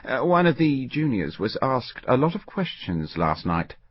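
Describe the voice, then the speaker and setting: A man's voice: medium pitch, very animated, measured pace, dry recording, dull audio, voice pushed up. A man voicing a watchful senior bank cashier in an audiobook story, respectful and careful. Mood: uneasy, deferential.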